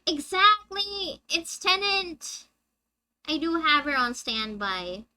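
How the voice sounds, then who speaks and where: A young woman with a high-pitched, squeaky voice, chatting with her viewers on a live game stream and reading the story text aloud. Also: slow pace, bright, forceful tone. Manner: chatty, reads dialogue aloud and answers chat.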